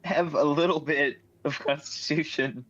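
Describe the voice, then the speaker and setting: A man's voice: fairly high pitch, steady tone, measured pace, lo-fi sound, over a noisy crowd. A man chatting casually as a player in a tabletop game. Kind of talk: casual chat.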